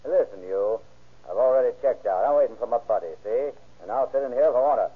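An actor playing a gruff, curt tough-guy detective with slangy, bullying talk in an old-time radio crime drama. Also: mid-range voice, animated, fast talker. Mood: bullying, irritated. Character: gruff police detective posing as a bullying, sleepy driver. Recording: muffled, narrow-band vintage radio recording.

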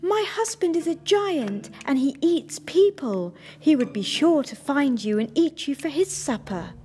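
A giant's fretful wife squeaks and flutters in a high, swooping voice, as a woman reads the story aloud. She speaks slowly, in a mellow tone. Soft music plays underneath. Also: sing-song. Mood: fearful.

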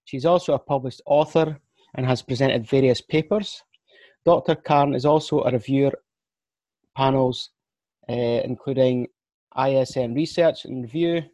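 A man hosts a sports podcast, reading out a guest's credentials and then asking questions. His voice is mellow and dark, and the recording is dry and close. He stumbles now and then with ums and slips. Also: medium pitch, no room sound. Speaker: podcast host.

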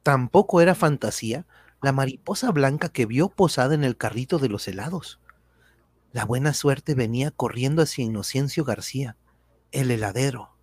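A man's voice: mid-range voice, lively intonation, moderate pace, slightly rough, dry recording. A man narrating a radionovela from a script in storytelling style.

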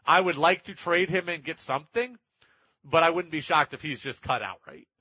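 Bright, raspy man on sports radio. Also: on the high side, moderate pace, no echo, dull audio. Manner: opinionated, emphatic, repeats points for effect. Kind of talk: sports talk radio commentary, reading listener texts.

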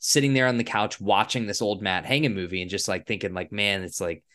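A man co-hosting a podcast, chatting casually in a wry, halting way and repeating his phrases as he gives opinions. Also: on the low side, fairly even intonation, fairly bright tone. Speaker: podcast co-host. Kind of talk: casual chat reviewing cartoon episodes.

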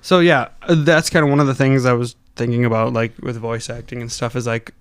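A man's voice: medium pitch, steady tone, clear, resonant tone, dry recording. A young man with a Wisconsin accent, co-hosting a podcast in casual, rambling chat, often restarting his thoughts. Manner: rambling, self-correcting, quick to laugh. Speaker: podcast co-host.

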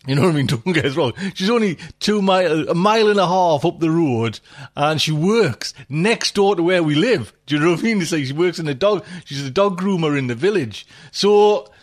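A man's voice: on the high side, expressive, brisk. A middle-aged man, hosting a podcast in rambling, chatty talk, laughing and swearing now and then. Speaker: podcast host. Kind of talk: casual chat.

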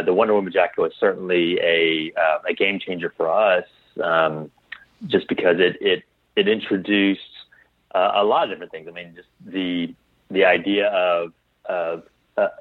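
A man's voice: low voice, steady tone, normal speed, no room sound, phone-quality sound. A man giving casual interview answers as a company founder, thinking aloud and often repeating his words as he goes. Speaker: guest, clothing company founder.

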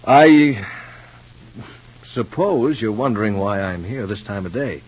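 A man playing an earnest, worried, ordinary fellow in a 1950s radio soap opera, speaking in a halting way. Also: fairly low pitch, animated, darkish tone, slightly rough, heavily acted. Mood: hesitant, uneasy. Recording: muffled old radio recording with hum.

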